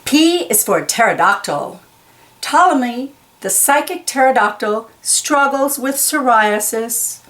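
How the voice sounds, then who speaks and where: A woman's voice: on the high side, expressive, fairly bright tone. A woman reading a children's picture book aloud, carefully stressing key words and explaining them simply. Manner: slow, deliberate, enunciates key words for young listeners.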